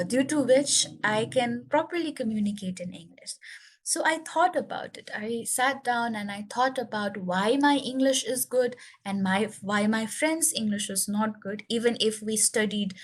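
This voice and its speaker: A young woman from Nepal with a Nepali accent, native speaker of Newari, earnestly sharing her English learning story with many fillers. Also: on the high side. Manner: earnest, explanatory, frequent uh and um fillers. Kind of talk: prepared personal talk to learners.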